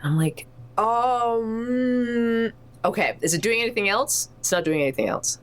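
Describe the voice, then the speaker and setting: A woman playing a tabletop roleplaying game, chatting casually and thinking aloud in an animated way. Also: a little high, a little slow. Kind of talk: casual improvised roleplay chat.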